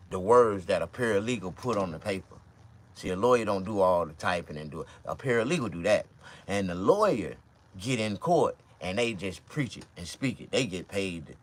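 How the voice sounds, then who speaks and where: Mississippi man, about fifty, very low voice, rambling profane riffs to camera, faint room hum behind. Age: 50s. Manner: profane, loose and rambling, with sudden loud outbursts. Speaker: vlogger and stand-up comedian. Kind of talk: rambling casual monologue.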